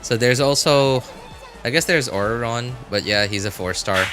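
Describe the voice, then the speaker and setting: A man's voice: mid-range voice, normal speed, music in the background. A man livestreaming games, chatting casually with viewers in a rambling, hesitant way, often backtracking and hedging. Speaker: game livestreamer.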